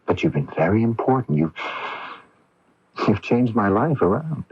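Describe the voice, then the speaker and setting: A kind young medical intern with a husky, lowish voice, gently evasive and halting as he soothes. It is 1980s radio drama, heard through a dull, lo-fi broadcast recording. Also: big pitch swings, exaggerated voice. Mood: soothing, firm.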